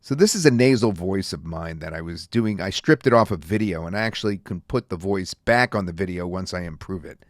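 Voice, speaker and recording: A man reviewing and demonstrating software in a casual, rambling way with many fillers. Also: deep voice, animated, a little fast, hardly any pauses, no room sound, clean studio sound. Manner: casual, filler-heavy talk. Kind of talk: screen-recorded software demo.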